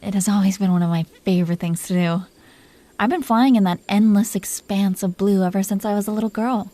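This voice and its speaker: A woman playing a possessive, protective dragon queen in an intimate roleplay audio drama, teasing and coaxing the listener in soft tones that can drop toward a whisper. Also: mid-range voice, steady tone, a little fast, dry recording, lightly acted. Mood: wistful, tender.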